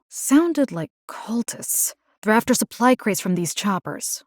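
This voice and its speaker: Dry studio sound, woman acting as wry young game heroine, caring, plucky, lively mid-range pitch. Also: no room sound, lightly acted. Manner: casual, wry, expressive, slipping into Spanish slang. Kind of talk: video game character voice lines.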